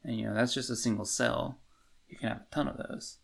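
A man's voice: medium pitch, steady tone, fairly quick, vocal fry, a bit bright, breathy edge. A man co-hosting a podcast in a casual book discussion, informal and hedging as he thinks aloud. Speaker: podcast co-host.

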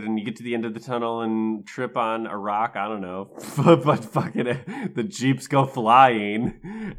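A man's voice: mid-range voice, a bit bright. A man co-hosting a podcast, chatting casually in a jokey, slangy way as he retells stories. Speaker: podcast co-host. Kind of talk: casual chat recapping a video game story.

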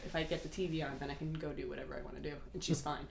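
A young woman telling relaxed personal stories in casual podcast chat. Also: sits low, very fast, vocal fry, reverberant, slight background noise. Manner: relaxed storytelling, many fillers.

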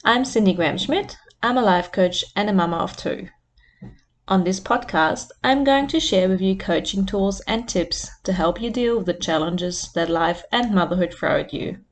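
A woman who hosts a podcast as a life coach, leading a guided meditation in a soft, soothing voice. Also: medium pitch, lively intonation, normal speed, slight background noise.

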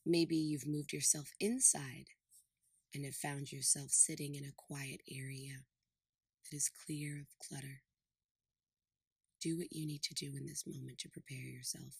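A woman hosting a guided meditation in a breathy, soothing voice, speaking deliberately in short phrases with pauses. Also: a bass voice, steady tone, no room sound, studio quality. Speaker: podcast host and meditation guide.

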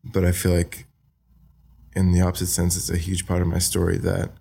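A young man from Australia with an American accent, talking softly and hesitantly as a podcast guest, with many fillers. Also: low-pitched, completely flat delivery, brisk, creaky, breathy edge. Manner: soft-spoken, hesitant, many ums and likes. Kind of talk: casual interview talk.

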